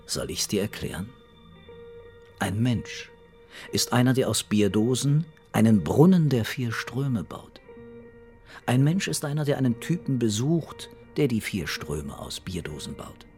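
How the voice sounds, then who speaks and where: An actor playing a calm, relentless police investigator in a radio crime drama, ironic and deliberate in his accusations. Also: mid-range voice, soft background music. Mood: quietly insistent.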